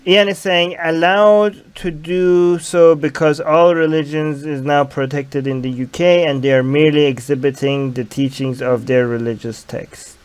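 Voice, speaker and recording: A young man with a fairly high voice hosts a casual livestream from a small room. He reads viewer comments aloud and argues his points, speaking into a headset mic. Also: steady tone, measured pace, few pauses, clear, resonant tone. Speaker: livestream host.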